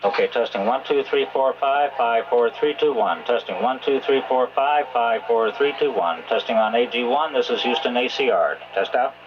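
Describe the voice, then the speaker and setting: A man recites a rote radio test in short counted phrases. The radio line is dull and carries clear static. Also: mid-range voice, moderate pace, few pauses. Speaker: radio technician.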